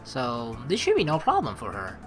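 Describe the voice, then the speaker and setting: A woman's voice: very deep voice, huge pitch swings, moderate pace. A woman hosting a let's play, chatting informally with rambling asides and reading the game's story text aloud. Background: game music.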